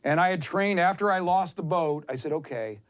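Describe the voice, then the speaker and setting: Male athlete guest, 50s, fairly high voice, rambling and excitable anecdotes with self-mockery. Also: a little fast. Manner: excitable, self-deprecating rambler who acts out quotes. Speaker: endurance athlete, interview guest. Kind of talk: casual, anecdotal interview storytelling.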